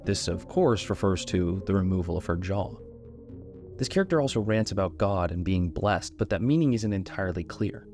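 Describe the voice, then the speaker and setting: Male narrator, fairly low pitch, scripted video essay commentary, soft music behind. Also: animated, moderate pace.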